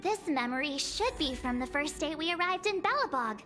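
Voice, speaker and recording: A spirited young girl adventurer from a video game. Her voice is extremely high and bright, swinging animatedly as she talks in a curious, casual, girlish way. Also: normal speed. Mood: baffled, explaining. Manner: expressive, informal, girlish. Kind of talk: scripted video game character dialogue. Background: background music.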